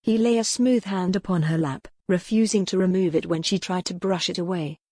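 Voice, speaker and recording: Female audiobook narrator, lowish pitch, scripted dramatic storytelling, historical romance, dry close sound. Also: a little fast, mellow, no echo.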